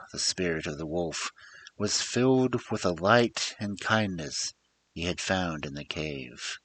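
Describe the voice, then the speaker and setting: A man narrates a tale with a theatrical, emphatic storybook delivery. His voice sits very low and moves at an unhurried pace. The intonation rises and falls widely, as a dramatic storyteller's does. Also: a bit bright.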